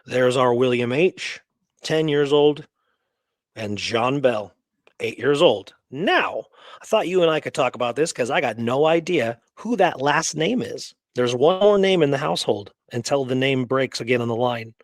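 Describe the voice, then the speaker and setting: Male host, medium pitch, presenting research casually and thinking aloud, close dry recording. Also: expressive, moderate pace, no room sound.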